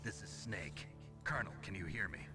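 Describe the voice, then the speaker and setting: Man acting a low, terse soldier hero. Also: a little fast, lightly acted. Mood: terse, focused. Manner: terse, clipped military radio talk. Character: gruff terse male covert soldier hero. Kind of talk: video game voice acting. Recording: game audio with loud music.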